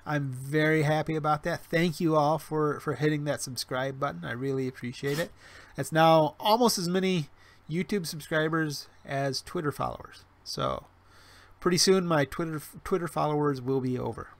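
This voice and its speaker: A man's voice: a little high, moderate pace, creaky. A man in his forties hosting a casual livestream, talking in a rambling way full of restarts and fillers, with wry self-deprecating humor. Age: 40s. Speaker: livestream host. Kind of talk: casual livestream chat reading chat. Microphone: webcam stream mic.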